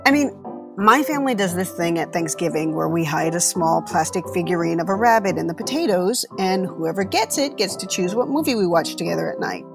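An actress playing an uneasy, candid in-law in a comedy audio drama, musing and rambling in a naturalistic, hesitant way. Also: female voice, fairly low pitch, lively intonation, fairly quick, gravelly, over music. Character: uneasy, candid woman married into an eccentric family.

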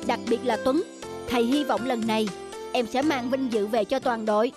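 A woman's voice: a little high, fairly even intonation, moderate pace, music in the background, lightly acted. A woman voicing young sportsmen of a cycling team in a dubbed cartoon. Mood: encouraging. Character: young male athletes and coach on cycling team.

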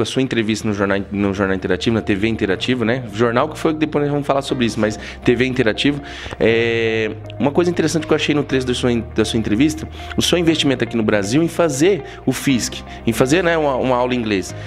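A Brazilian man in his thirties hosting a casual TV interview, chatty and affirming. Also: mid-range voice. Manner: chatty, affirming "sem dúvida". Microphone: handheld mic.

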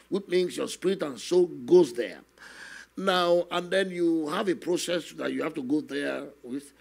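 An older man, a guest minister telling his testimony slowly and earnestly in a studio interview, often adding "you know". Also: fairly high pitch, darkish tone. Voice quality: gravelly, husky. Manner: slow, earnest storytelling with many "you know" asides. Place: television studio, seated on a set. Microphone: stand microphone in front of him.

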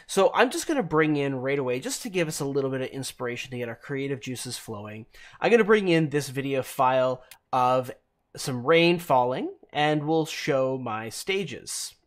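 A man in his thirties presenting a software tutorial, explaining deliberately phrase by phrase, close to the mic. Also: mid-range voice, lively intonation. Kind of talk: instructional screencast narration.

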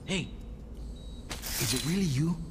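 A man's voice: a little high, lively intonation, takes it slowly, noisy background. A young man voicing an eager, loyal prison inmate in a video game cutscene, earnest and persuasive. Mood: eager, pleading. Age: young adult.

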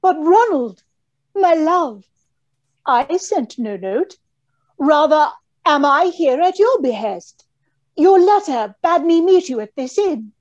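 A woman's voice: high voice, big pitch swings, takes it slowly, a little mellow, heavily acted. A woman playing a fretful, romantic, melodramatic heroine with theatrical delivery in an old-style radio play. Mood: adoring. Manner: theatrical, fluttery. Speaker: actor. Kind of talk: 1930s radio acting. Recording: dull lo-fi audio.

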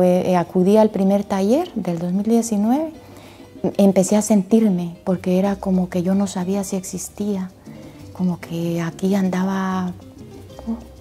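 A woman's voice: mid-range voice, mellow. A woman from Guatemala, speaking in a heartfelt, emphatic way about her experience. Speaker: interviewee. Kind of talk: emotional testimony.

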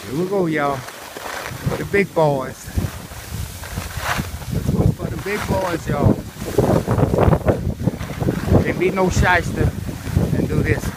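A middle-aged man commentating in short repeated phrases to viewers while exerting himself on a ski run. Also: on the high side. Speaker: skier filming his own run. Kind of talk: live first-person action commentary to viewers. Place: outdoors on a ski slope. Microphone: handheld phone or camera. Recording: loud wind noise on handheld camera. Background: wind and rushing ambience.

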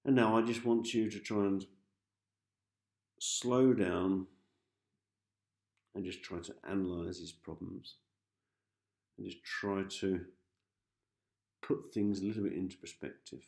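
Slow, deep-voiced man guiding sleep hypnosis. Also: creaky, roomy sound. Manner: calm, gentle, repetitive instructions with pauses. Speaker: hypnosis host, meditation guide. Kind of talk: guided sleep hypnosis, soothing meditation.

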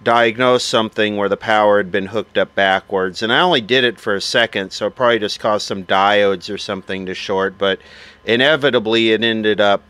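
A man's voice: lowish voice, fairly even intonation, brisk, a bit bright, smooth, dry recording. A man giving casual, off-the-cuff commentary as he looks over vintage electronics, with dry, sardonic asides. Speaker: vintage electronics repair host.

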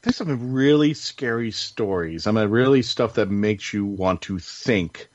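A man's voice: fairly low pitch, animated, unhurried, a little mellow, slight background noise. A man hosting a casual panel chat in a rambling, enthusiastic way, on muffled audio. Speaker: podcast host.

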